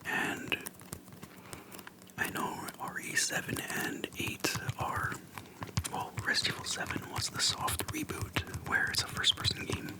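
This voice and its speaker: An ASMR host speaking in a soft, breathy unvoiced whisper, slow and hushed with pauses between short phrases, close to the microphone. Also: rough recording. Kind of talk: whispered ASMR, relaxed personal chat. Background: tapping on a plastic case.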